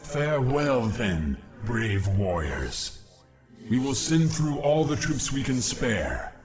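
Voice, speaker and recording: An actor playing a young, noble, dutiful alien warrior in a video game, speaking formally like a military officer. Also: male voice, medium pitch, very animated, slow. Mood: solemn concern. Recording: game music behind voice.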